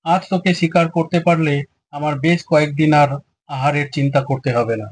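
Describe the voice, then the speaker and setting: A man voicing a hungry, bullying tiger in a children's cartoon fable, husky, menacing and imperious. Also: on the high side, fairly even intonation, fairly quick, dry recording.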